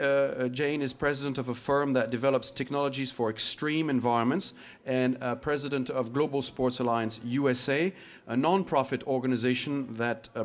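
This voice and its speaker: A man hosting an event and giving a formal introduction, halting with frequent hesitations. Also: mid-range voice. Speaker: event host introducing a speaker. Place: event at an institutional venue, live speech.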